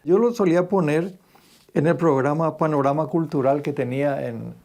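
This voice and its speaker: A man's voice: fairly high pitch, normal speed, gravelly, darkish tone. An older man with a Paraguayan accent recounting anecdotes in an interview, adding small tag questions for agreement. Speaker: interviewee, cultural radio broadcaster.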